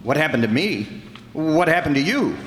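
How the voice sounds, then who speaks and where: A man's voice: on the high side, very animated, moderate pace, a bit bright, a little hiss or hum. A man playing a bold, dogged amateur sleuth in an old-time radio detective drama. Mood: startled, insistent. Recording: echoey hall.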